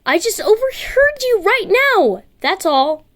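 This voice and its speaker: A woman's voice: very high voice, big pitch swings, a little slow, voice pushed up, exaggerated voice. A woman voicing an earnest, prim, easily flustered young boy in an amateur story reading. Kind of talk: amateur audiobook character voice.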